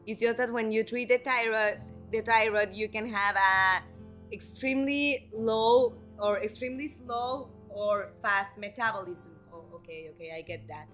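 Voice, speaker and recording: A woman's voice: mid-range voice, a bit bright. A woman who is a native Spanish speaker, hosting a game live stream and chatting encouragingly with her viewers. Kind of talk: live stream chat, reading comments aloud.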